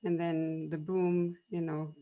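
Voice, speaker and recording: German-accented woman lecturer, halting delivery. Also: low voice, little pitch movement, dull audio. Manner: hesitant, many ums and restarts; explanatory, storytelling tone. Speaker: university machine learning lecturer. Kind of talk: debate panel opening remarks.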